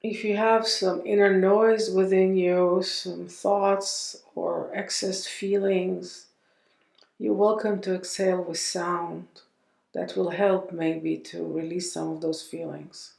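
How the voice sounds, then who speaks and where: A woman leading a guided meditation in a gentle, unhurried manner, leaving long pauses between phrases. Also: fairly low pitch, flat delivery, vocal fry, echoey room.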